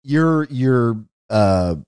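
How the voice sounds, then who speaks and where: A man's voice: mid-range voice, expressive, very slow, darkish tone, clean tone, no room sound. A man hosting a podcast with casual talk and questions, delivered with dry, blunt humor. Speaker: podcast host.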